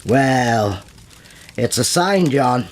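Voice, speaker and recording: An actor playing a pompous, blustering British lord in an old-style radio play. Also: male voice, mid-range voice, animated, extremely slow. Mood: jovial, hearty. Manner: pompous, old-fashioned upper-class phrasing. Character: pompous, blustering English lord, secret cult leader. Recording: echoey hall with faint noise.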